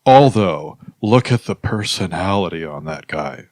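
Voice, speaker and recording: A man improvising comedy, making pompous, mock-profound pronouncements in a deadpan way. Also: low voice, measured pace, no room sound, clean studio sound.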